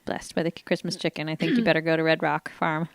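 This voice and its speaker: A woman's voice: low-pitched, quick pace, vocal fry, dry recording. A woman from Vermont co-hosting a community radio show, chatting casually in a playful, teasing way. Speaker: radio co-host. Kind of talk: casual chat. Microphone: studio microphone.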